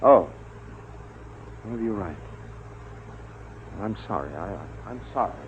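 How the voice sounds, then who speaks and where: Jittery major, wildly varying pitch. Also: male voice, expressive, takes it slowly. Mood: uneasy, subdued. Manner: stammering, agitated, muttering. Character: rattled, jittery military biologist major. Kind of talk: old-time radio drama acting. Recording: muffled, hissy vintage radio recording.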